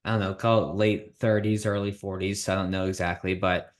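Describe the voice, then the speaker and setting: A man's voice: low voice, level tone, brisk. A man in his thirties hosting a business podcast, chatting casually with candid, self-deprecating humor. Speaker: podcast host.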